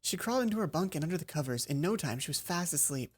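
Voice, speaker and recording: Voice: medium pitch, animated, fast, background noise. A let's player reading visual novel narration aloud, stumbling and rereading lines, with casual asides. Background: soft game music.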